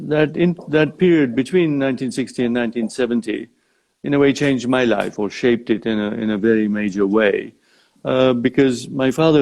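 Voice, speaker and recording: An older man from Uganda talks as a guest in a live video chat. He speaks in a reflective, measured way, often pausing and rephrasing. His pitch sits in an average male range. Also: darkish tone, low-quality recording. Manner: halting, thoughtful, measured phrasing. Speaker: interview guest, safari company founder.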